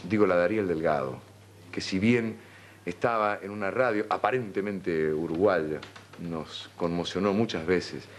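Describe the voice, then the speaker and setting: A Rioplatense man with a low-pitched voice chats as a live host. He speaks casually into a studio microphone. There is slight noise in the background. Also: measured pace. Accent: Rioplatense Spanish. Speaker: television host. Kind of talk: casual live hosting chat. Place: television studio.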